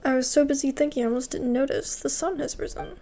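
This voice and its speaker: A woman doing casual gameplay commentary, expressive and reactive, sometimes reading dialogue aloud. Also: sits high, quick pace, a little hiss or hum. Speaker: gaming video creator.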